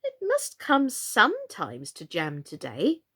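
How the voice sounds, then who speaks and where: A woman's voice: mid-range voice, huge pitch swings, slow, fairly bright tone, breathy edge, exaggerated voice. A woman voicing a polite, sensible, slightly timid young storybook girl in a civil, earnest way. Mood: amused, objecting.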